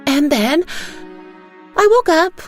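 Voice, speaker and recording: A sweet little girl, played by a woman, speaks high and earnestly, with childlike simple phrasing. She belongs in an audio storybook, unhurried and sincere, with music underneath. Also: sing-song, full character voice. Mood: earnest, bright. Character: sweet, earnest little girl telling her mother nightmares.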